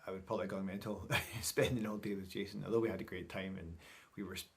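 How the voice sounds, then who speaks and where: A man's voice: deep voice, steady tone, fast. A middle-aged man talking casually to camera in a chatty, rambling, informal vlog style. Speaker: vlogger.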